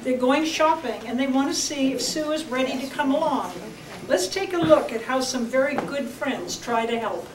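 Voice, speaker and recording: A woman in her seventies introduces the next skit in a light, bubbly way. Her voice is high. It sounds distant, with echo and noise around it. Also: normal speed. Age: 70s. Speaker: theatre troupe presenter. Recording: echoey room, from afar, noisy.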